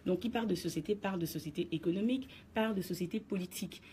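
A woman's voice: on the low side, racing, warm tone, a little hiss or hum. A woman giving her radio column, explaining her position to listeners in an assertive, lecturing way. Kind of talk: radio commentary segment. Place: radio studio.

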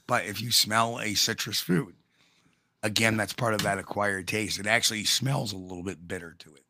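A man with a New York accent co-hosting a casual live chat show, talking in a folksy, blunt way with drawn-out emphasis. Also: on the low side, fairly even intonation, pushed and bright. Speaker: co-host.

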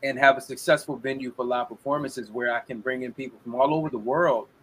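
Young man, guest on a video call, casual chat, animated storytelling, faint hum behind. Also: mid-range voice, fast talker, rough recording. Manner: animated storyteller, acts out quotes. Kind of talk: casual interview talk.

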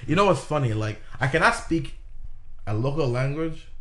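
A man from Ghana talks casually, his voice sitting at an ordinary middle pitch. He rambles a little, circling back with fillers and restarts. The tone is relaxed, like friends talking. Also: normal speed. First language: a Ghanaian language. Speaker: interviewee. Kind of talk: casual conversation.

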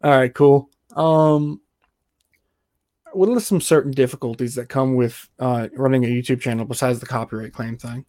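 A man's voice: mid-range voice, normal speed, no echo. Host man, easygoing questioner, dry close sound. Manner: relaxed, friendly, asks questions loosely with fillers. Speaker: podcast host. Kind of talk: casual interview hosting.

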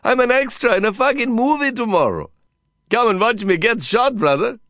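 Exuberant, high-pitched Indian horseman. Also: moderate pace, no room sound, heavily acted. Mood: excited, boastful. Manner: excitable, slangy, cajoling. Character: exuberant, foul-mouthed, friendly Indian man, swaggering horseman.

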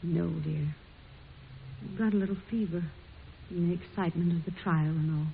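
Actress as a devoted friend, low creaky voice. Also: female voice, steady tone, normal speed, natural voice. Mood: worried, tender. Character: anxious, devoted female friend and companion. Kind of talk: old-time radio drama acting. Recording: tinny, hissy old radio recording.

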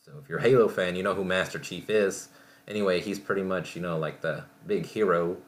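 A man with a low voice talks casually on his own as a podcast host. He rambles along haltingly, doubling back to correct himself. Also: fairly even intonation. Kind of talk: casual solo talk reviewing entertainment.

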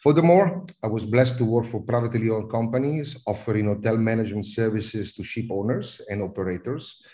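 A middle-aged Italian man speaks in a low voice with a mellow, warm tone, his accent plain in every phrase. He talks as an interview guest, often pausing on "uh" and repeating a word before going on. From Italy. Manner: hesitant, repeats words and fills with uh. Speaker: guest, cruise industry advisor. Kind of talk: podcast interview.

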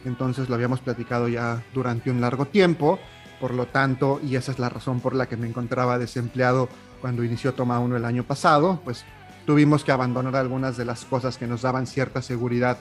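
A man's voice: medium pitch, little pitch movement, a little fast, soft background music. A young man from Mexico with a Mexican Spanish accent, hosting a solo podcast in a chatty way, with a lot of "eh, pues". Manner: chatty, warm-hearted, with filler "eh, pues". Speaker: podcast host.

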